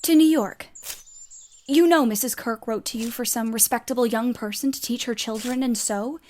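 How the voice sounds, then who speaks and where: A woman's voice: on the high side, moderate pace, a little mellow, natural voice. A woman playing a spirited, restless young woman writer, blunt but caring, in a period audio play. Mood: anxious, restless.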